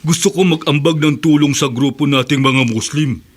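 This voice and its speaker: A man's voice: a little high, moderate pace, natural voice. An actor in a radio drama playing a stern, devout husband who scolds his wife.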